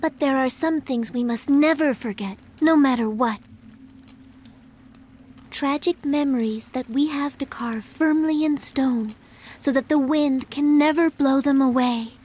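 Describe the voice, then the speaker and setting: An actress playing a gentle, principled young princess in a video game cutscene, speaking formally and earnestly. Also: female voice, high-pitched, unhurried.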